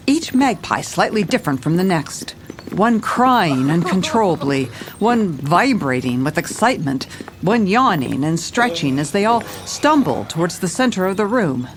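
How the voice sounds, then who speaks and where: A woman playing a level-headed police commissioner who narrates her case in a scripted audio drama. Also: lowish voice.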